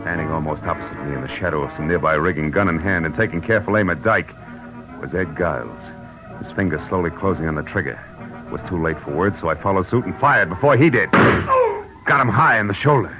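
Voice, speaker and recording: Deep, hard-boiled radio private eye. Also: male voice, sing-song, fairly quick, low-quality recording. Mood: brooding, tense narration. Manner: clipped, sardonic, commanding. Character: tough, wisecracking hard-boiled male private detective narrating his case. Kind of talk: 1940s radio noir acting and narration. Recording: vintage radio broadcast with music.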